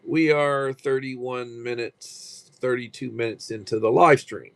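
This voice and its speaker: A man's voice: medium pitch, gravelly, dry recording. A man in his sixties hosting a casual livestream, talking in a rambling way with frequent restarts and fillers. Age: 60s. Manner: rambling, halting with repeated restarts. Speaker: livestream host.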